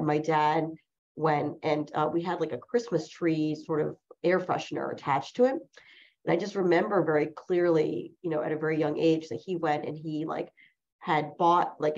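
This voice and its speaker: A woman in her forties, a lawyer who grew up speaking Chinese as her first language, telling personal stories in an interview with reflective, rambling phrasing and frequent self-corrections. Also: low voice. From New Jersey, USA. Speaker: lawyer, guest. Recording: dull lo-fi recording.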